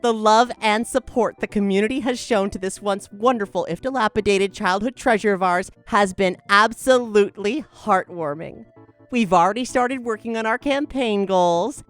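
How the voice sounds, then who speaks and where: A woman's voice: medium pitch, close to an everyday voice. A woman playing an earnest, self-satisfied campaign manager giving a formal, gushing statement in a comedy audio drama.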